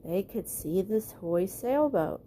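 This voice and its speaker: A woman's voice: medium pitch, animated, takes it slowly, warm tone, slight background noise. A woman reading a children's storybook aloud at bedtime, as the narrator. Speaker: storybook reader.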